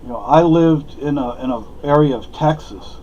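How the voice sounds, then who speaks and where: A man's voice: a little high, moderate pace, a little mellow, highs cut off, a little hiss or hum. A man from Spain, a native Spanish speaker, giving a rambling, opinionated monologue with many fillers and restarts. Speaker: vlogger.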